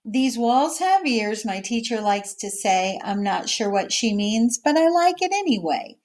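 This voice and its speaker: A woman's voice: on the high side, big pitch swings, measured pace, a little mellow. A woman teacher reading a picture book aloud and explaining it simply and casually to children, often checking that they follow. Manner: explains simply, checking in with okay and all right.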